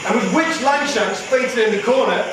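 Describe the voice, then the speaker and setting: A man's voice: extremely high pitch, brisk. A man narrating a live puppet show for children as its storyteller. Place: large room, live audience. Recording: echoey hall, far from mic, noisy.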